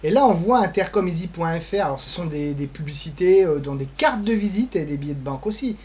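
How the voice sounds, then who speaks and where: A man with a fairly high voice gives a casual, improvised walkthrough of what is on his screen. He thinks aloud in a rambling, offhand way, with a flippant streak. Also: expressive, fast, a little mellow, breathy edge. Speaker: co-presenter giving a guided tour of social media pages.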